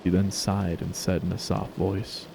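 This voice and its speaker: A man's voice: deep voice, little pitch movement, measured pace, vocal fry, mellow, slight background noise. A man narrating a story as a scripted dramatic reading.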